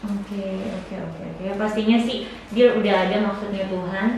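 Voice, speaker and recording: A woman interviewer from Jakarta, chatting casually and warmly affirming her guest, often calling her dear. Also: medium pitch, steady tone, normal speed, recorded from a distance. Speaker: interviewer host. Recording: echoey room, voice from afar, faint noise.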